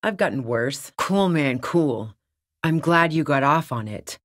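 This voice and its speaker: A woman's voice: sits low, animated, unhurried, no echo. A woman playing a tough, sardonic female lorry-driving smuggler in a video game, with a dry, sarcastic and casually profane way of talking. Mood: defiant, irritated. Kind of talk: video game character dialogue.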